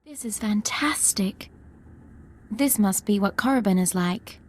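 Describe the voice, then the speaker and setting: A woman's voice: medium pitch, a little slow, slight background noise, close to an everyday voice. A woman playing an eager young military ensign in a video game, with an enthusiastic manner. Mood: awed, excited. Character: eager, starry-eyed young female Imperial ensign companion. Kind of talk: video game cutscene acting.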